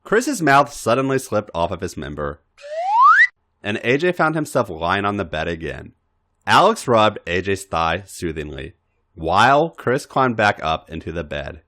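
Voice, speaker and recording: A man's voice: lowish voice, very animated, unhurried, sharp tone. A man reading adult fiction aloud as a dramatic narrator, acting out the dialogue theatrically. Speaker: podcast reader.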